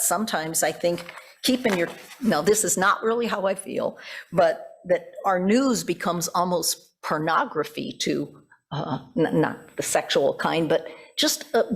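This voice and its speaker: A woman's voice: fairly low pitch, measured pace, breathy edge. A woman pastor preaching a reflective, explanatory sermon, moving between notes and spoken asides and posing rhetorical questions. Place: church service.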